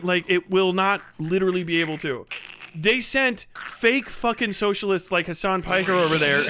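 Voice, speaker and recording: A man's voice: high-pitched, bright, forceful tone. A man streamer ranting casually and sarcastically, swearing and laughing often. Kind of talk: casual live stream rant.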